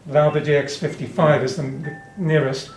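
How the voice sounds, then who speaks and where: Man, slightly high voice, explanatory exhibitor, informal technical demo, echoey room. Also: steady tone, normal speed. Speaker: exhibitor presenting hi-fi equipment. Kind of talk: informal product demonstration. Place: indoor hi-fi show demonstration room. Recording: roomy show demo room with music playing. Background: music playing.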